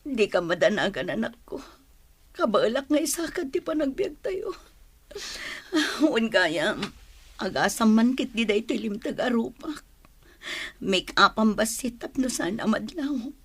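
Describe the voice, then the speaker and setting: A woman's voice: fairly high pitch, sing-song, unhurried, breathy edge, exaggerated voice. A woman acting a careworn, struggling mother with a Filipino accent in a radio drama. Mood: weary, reassuring. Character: careworn, struggling mother of a teenage daughter, quarrelling with her husband.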